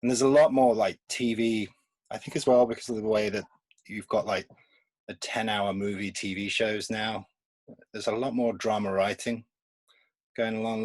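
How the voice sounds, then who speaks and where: Husky-voiced man, laughing often. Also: fairly low pitch, darkish tone, dry recording. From England. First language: Polish. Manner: rambling, laughs often between thoughts. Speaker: comics artist guest. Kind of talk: casual remote podcast interview.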